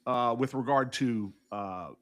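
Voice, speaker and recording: A man's voice: medium pitch, slow pace. Indignant man. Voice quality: loud voice. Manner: emphatic, indignant, many restarts. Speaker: co-host of political talk show. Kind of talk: casual political discussion.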